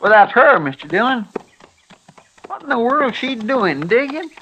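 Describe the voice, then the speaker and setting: An actor playing a nervous, fretful, loyal cowboy sidekick in a radio western, with a folksy rural American Western drawl, on a vintage recording. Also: male voice, extremely high pitch, big pitch swings, a little slow, pushed and bright, lo-fi sound, heavily acted. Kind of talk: 1950s radio western acting.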